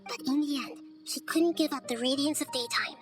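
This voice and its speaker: Game casting: actress as gentle child deity, high voice, wise and articulate, measured thoughtful delivery, music underneath. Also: female voice, close to an everyday voice. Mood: calm, reflective. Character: wise, gentle little-girl god of wisdom. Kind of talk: scripted video game voice acting.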